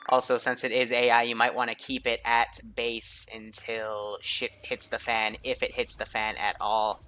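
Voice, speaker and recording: Bright-voiced blunt man briefing gamers. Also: mid-range voice, completely flat delivery, normal speed, slight background noise. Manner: blunt, sweary, sardonic. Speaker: mission commander in an online military game. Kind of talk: mission briefing over game voice chat.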